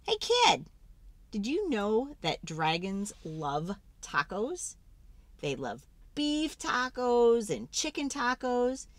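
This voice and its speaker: Animated woman reading a storybook aloud. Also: medium pitch, dry recording. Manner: theatrical, exaggerated emphasis with drawn-out words. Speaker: children's storybook read-aloud host.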